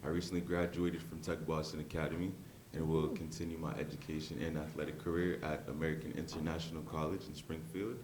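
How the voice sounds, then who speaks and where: Very deep, flat-voiced eighteen-year-old man. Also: quick pace. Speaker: panelist. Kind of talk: self-introduction. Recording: echoey room with background noise.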